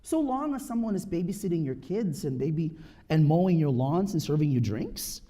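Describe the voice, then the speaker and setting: A man in his thirties from the Philippines giving a personal talk to an audience, earnest and emphatic, often checking in with a quick "right?". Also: on the high side, very animated, mellow.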